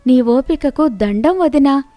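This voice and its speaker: A woman's voice: high voice, expressive, takes it slowly, warm tone, dry recording, voice pushed up. A woman voicing a young sister-in-law in a village moral story, eager and concerned. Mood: admiring, concerned.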